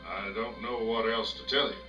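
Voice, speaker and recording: An actor playing a guarded, statesmanlike American president in a video game cutscene, speaking in a measured way. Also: male voice, on the low side, fairly even intonation, sharp tone, far from the mic. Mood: firm, guarded. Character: American president, guarded, firmly denying blame. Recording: dull, echoey game audio under music.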